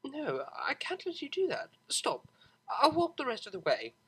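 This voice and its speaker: Woman voicing a young refined mare, high and pleading. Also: wildly swooping pitch, normal speed, fairly bright tone, breathy edge, exaggerated voice. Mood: surprised, insistent. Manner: pleading, stammering, emphatic. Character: young refined pony mare, distressed, defensive daughter.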